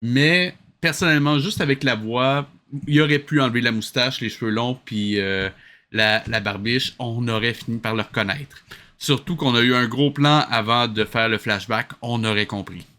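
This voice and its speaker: A man's voice: mid-range voice, moderate pace, sharp tone. A man with a Quebec French accent giving casual, mocking commentary, sarcastic and incredulous. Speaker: host commenting on an old cartoon.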